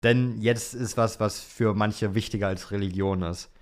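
Young male podcast host, low voice, casual and slangy chat. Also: steady tone, normal speed. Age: young adult. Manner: informal youth slang. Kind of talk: casual chat about motorsport, answering listener questions.

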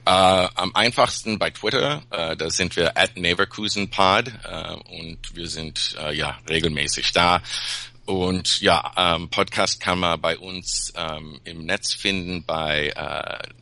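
A man's voice: deep voice, slow, pushed and bright, dry recording. A man from Peru, a podcast guest chatting casually in German, with many fillers and restarts. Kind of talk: casual chat.

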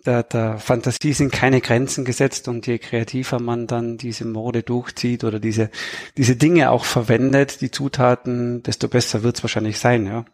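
A man chatting casually as an author on a panel, halting and restarting his sentences with wry humor. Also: mid-range voice, fairly even intonation, darkish tone. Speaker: thriller author and podcast guest.

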